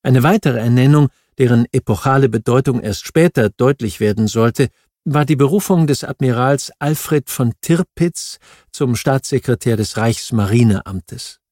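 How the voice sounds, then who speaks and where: A man reads a scripted nonfiction audiobook in a measured, factual manner. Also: mid-range voice, darkish tone, no room sound, studio quality. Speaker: audiobook narrator.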